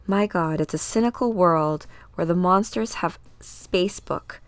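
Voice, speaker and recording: A woman voicing a brisk, worried female intelligence chief from a comic book, wry and businesslike. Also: on the low side, no echo, natural voice. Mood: wry, concerned.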